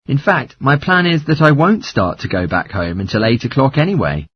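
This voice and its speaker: A man playing a polite traveller making inquiries in a scripted dialogue, with a husky voice. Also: mid-range voice, big pitch swings, normal speed, a bit bright, a little hiss or hum. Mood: polite, curious. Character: polite traveller asking for fare information. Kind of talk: scripted listening-test dialogue.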